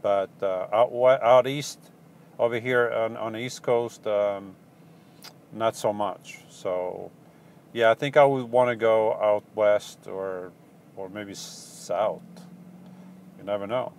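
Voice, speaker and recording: A man with a Portuguese accent, a van-life vlogger casually answering viewer questions in a halting, rambling way full of ums and restarts. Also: on the low side, steady tone, a little mellow, a little hiss or hum. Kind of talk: casual live Q&A chat.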